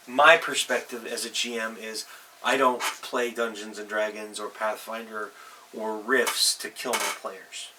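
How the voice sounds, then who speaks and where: A middle-aged man talks off the cuff like a game master giving advice. His voice sits low yet has a bright, hard edge, and he stops and starts, punching key words. The mic is some way off, with faint noise behind him. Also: recorded from a distance, rough recording. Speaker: host, tabletop game master. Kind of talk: casual advice vlog, unscripted.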